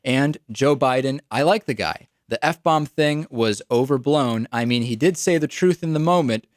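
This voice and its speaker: A man's voice: mid-range voice, moderate pace, no room sound. American man hosting political radio, dry sound. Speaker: radio talk show host. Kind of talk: political commentary.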